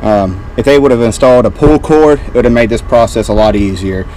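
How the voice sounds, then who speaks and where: A man's voice: mid-range voice, fairly quick, slight background noise, rough recording. A man in his thirties casually walking through a boat wiring job step by step, with plenty of fillers, filmed on a close action camera outdoors. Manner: relaxed, explaining step by step with fillers. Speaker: boat DIY vlogger. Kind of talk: casual how-to walkthrough.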